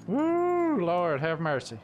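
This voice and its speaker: Man, slow pace, higher-pitched voice, casual gamer chat, drawn-out exclamations, game gunfire behind. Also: huge pitch swings, dull audio, background noise. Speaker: stream host and gamer.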